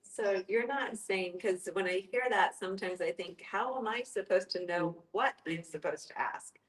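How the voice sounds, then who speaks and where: A woman's voice: mid-range voice, normal speed. A woman taking part in a conversational group discussion, questioning and thinking aloud. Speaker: discussion participant. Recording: echoey room.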